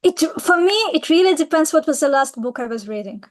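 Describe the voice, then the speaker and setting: A woman whose first language is Russian, taking part in a writing group and speaking thoughtfully in a halting way, with many fillers. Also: high-pitched, lively intonation. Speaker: workshop participant.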